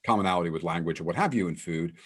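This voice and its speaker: Interview host, man from New England; very low, breathy voice, laid-back delivery. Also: very fast. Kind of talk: casual interview conversation.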